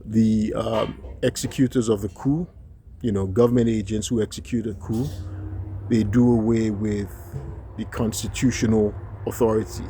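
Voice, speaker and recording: Halting man from Nigeria, low mellow voice. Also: a little hiss or hum. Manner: halting, many fillers and restarts; frequent rhetorical "right?" tags. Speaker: commentator. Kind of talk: unscripted solo commentary.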